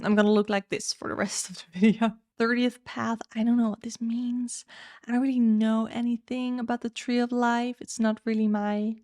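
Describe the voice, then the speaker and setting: A woman's voice: on the high side, no room sound, studio quality. A young woman whose first language is Dutch, casually reviewing upcoming tarot and oracle decks in a candid, chatty way. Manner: candid, chatty, frank opinions. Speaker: tarot YouTuber.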